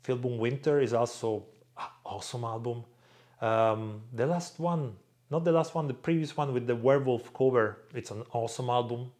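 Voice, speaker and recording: A young man from Croatia speaks in a relaxed vlog Q&A. He answers fans off the cuff, bluntly, and swears freely. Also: medium pitch, moderate pace. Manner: blunt, swears freely, reads viewer questions aloud in a louder voice. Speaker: vlog host answering viewer questions. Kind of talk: casual Q&A monologue.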